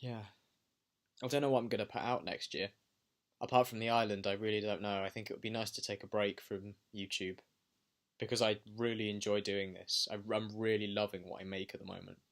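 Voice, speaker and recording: A man's voice: on the low side, level tone, a little fast. A young adult man talking reflectively in a personal video diary, pensive and hesitant as he thinks aloud. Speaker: vlogger and amateur filmmaker.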